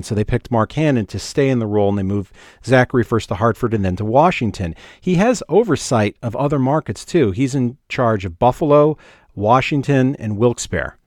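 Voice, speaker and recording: A man's voice: mid-range voice, a little mellow, dry recording, studio quality. A man co-hosting a podcast, talking casually and analytically in an explanatory, matter-of-fact way. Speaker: podcast co-host. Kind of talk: casual analytical talk about radio business.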